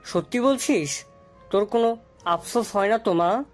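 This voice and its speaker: A man's voice: high voice, moderate pace, soft background music, natural voice. A man playing a tender, middle-aged widowed father in an audio story drama. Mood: tender, sorrowful. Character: tender, grieving widowed father of a grown daughter.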